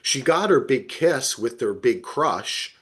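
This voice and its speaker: A middle-aged American man from New Jersey chatting casually as a podcast co-host, telling things in an animated, profane way. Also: mid-range voice, slow, husky. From New Jersey, United States. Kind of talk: casual TV recap chat.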